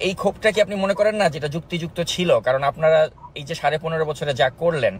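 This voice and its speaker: A man's voice: fairly high pitch, rapid-fire. A man with a Bangladeshi accent interviewing as a journalist, persistent and challenging, on a recorded call. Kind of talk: confrontational political interview. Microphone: recorded phone call. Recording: faint noise on recorded call.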